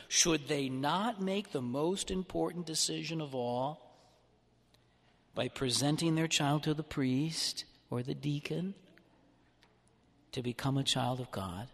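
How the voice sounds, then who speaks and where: A man preaching a homily in church, lively and expressive, sometimes slipping into a mock-whining voice to make a point. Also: a little high. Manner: animated, mock-whining when playing an upset child. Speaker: priest or deacon preaching a homily. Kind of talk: sermon. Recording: church hall reverb.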